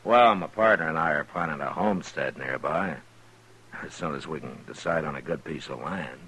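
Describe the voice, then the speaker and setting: An actor playing a calm, determined U.S. Marshal in a radio western, speaking in an unhurried, understated, firm manner. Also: male voice, very deep voice, husky, full character voice, poor audio. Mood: friendly. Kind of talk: 1950s radio western acting.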